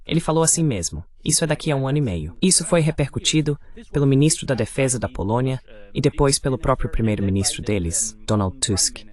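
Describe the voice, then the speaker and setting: A man with a medium-pitched voice speaks as an interview guest in a voice-over translation. He delivers political commentary in an explanatory interview format. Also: lively intonation, quick pace, a little mellow.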